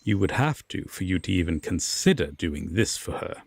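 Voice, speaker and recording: A man playing an aloof, stern yet secretly tender Fae king in a roleplay audio drama, speaking formally and deliberately with long pauses. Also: low voice, sing-song, gravelly, breathy edge, no echo, heavily acted. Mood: apologetic, guarded.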